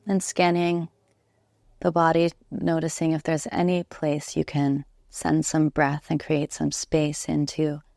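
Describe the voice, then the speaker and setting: Low, creaky-voiced woman guiding meditation. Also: completely flat delivery, dry recording, studio quality. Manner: soothing, unhurried, gentle instruction with long pauses. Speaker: yoga nidra instructor. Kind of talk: guided relaxation meditation.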